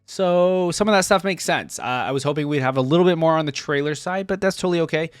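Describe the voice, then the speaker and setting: A man's voice: fairly high pitch, quick pace, smooth, no room sound, studio quality. A man giving casual gaming reaction commentary, rambling and easily impressed as he thinks aloud. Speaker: reaction video host. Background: faint music.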